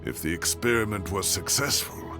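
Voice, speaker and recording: A man's voice: on the low side, normal speed, close to an everyday voice. An actor playing a weary, secretive old professor in a video game, sighing and reluctant as he speaks. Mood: regretful. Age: older. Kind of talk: dramatic video game voice acting. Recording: music under the voice.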